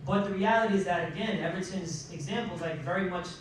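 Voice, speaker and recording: A man speaking unscripted as a panelist, emphatic, pausing mid-sentence and posing rhetorical questions to make his points. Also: sits high. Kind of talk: panel discussion remarks, unscripted. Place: large indoor room at a college panel event. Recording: echoey, noisy room, distant microphone.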